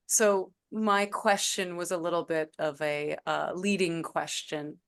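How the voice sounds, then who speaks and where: A woman leading an online group session over a video call, gentle and encouraging, calling on participants by name. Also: on the low side. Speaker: guest speaker leading a session.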